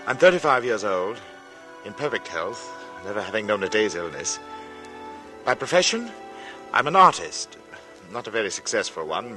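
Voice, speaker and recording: An actor in a 1940s radio drama playing an uneasy thirty-five-year-old artist, telling his strange story slowly and deliberately. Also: lowish voice, close to an everyday voice. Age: 30s. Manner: slow, halting, deliberate, dramatic. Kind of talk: 1940s radio drama acting, first-person narration. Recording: vintage radio broadcast with music. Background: dramatic music.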